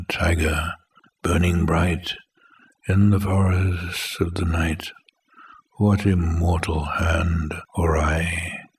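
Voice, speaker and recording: A man reciting poetry in a measured, deliberate, dramatic way. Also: deep voice, recorded from a distance. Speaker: poetry reader.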